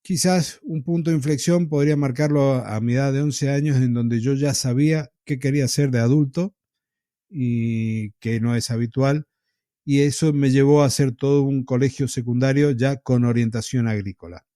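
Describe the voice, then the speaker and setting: An Argentine man with a warm, relaxed voice tells his own story at an easy pace. He sounds reflective, pausing now and then as he remembers. The sound is close and dry, with no room around it. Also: medium pitch, no room sound. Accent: Argentine Spanish. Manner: reflective, unhurried, with pauses and soft laughs. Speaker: podcast host telling his own story. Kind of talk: casual storytelling in an interview.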